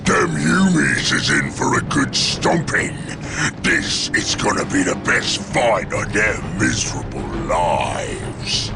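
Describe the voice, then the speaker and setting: A man voicing a brutish, war-hungry ork warboss in a video game, guttural and boastful, shouting in crude broken grammar. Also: on the low side, very animated, slow, creaky, loud music behind the voice, heavily acted. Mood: belligerent. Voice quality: guttural, growling. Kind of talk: video game cutscene acting.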